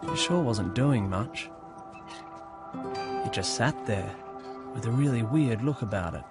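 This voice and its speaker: A man narrating an animated short film as a laid-back young man, recalling the past in an understated, conversational, wry way. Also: mid-range voice, unhurried, loud music behind the voice.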